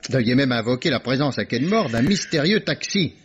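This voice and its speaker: An actor playing a shrewd, self-assured police inspector in a vintage French radio drama, teasing and chuckling. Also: male voice, medium pitch, expressive, brisk, a little hiss or hum, close to an everyday voice. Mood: confident. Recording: dull old radio archive recording.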